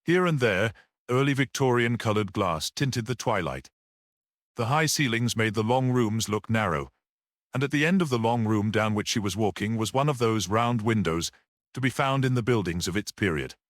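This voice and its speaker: A man narrates a classic literary story in a low voice. His pitch moves up and down with lively variety, and the recording is close and dry, like an audiobook. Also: normal speed, dry recording.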